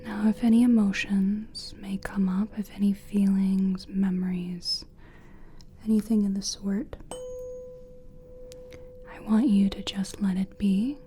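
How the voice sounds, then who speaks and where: A woman giving a soothing, gentle ASMR personal attention roleplay in a breathy whisper, speaking deliberately. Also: medium pitch, level tone, slight background noise. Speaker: ASMR creator playing a soul-cleansing practitioner.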